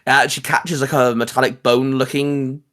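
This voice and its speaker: Halting man chatting about geeky things. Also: medium pitch, flat delivery, a bit bright. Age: adult, a parent. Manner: halting, repetitive, stammered word starts. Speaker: host. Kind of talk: casual geek chat.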